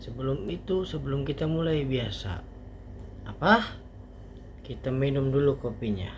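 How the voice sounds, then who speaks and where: A man giving an informal language lesson as a teacher, explaining haltingly step by step with casual asides. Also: mid-range voice. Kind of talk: informal online grammar lesson. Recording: faint background noise.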